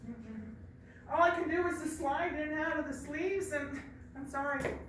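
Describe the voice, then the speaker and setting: A helpful snake , acted out by a woman storyteller, who talks low, slow and apologetic. The sound is echoey and heard from far off, with faint noise in the background. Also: steady tone, fairly bright tone. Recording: echoey room, picked up from far off.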